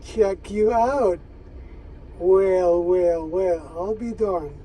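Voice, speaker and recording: Slow, high-pitched man chatting on ham radio. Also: warm tone, distant mic. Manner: folksy, repeats phrases, drawn-out pauses. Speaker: amateur radio operator. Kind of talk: casual radio chat.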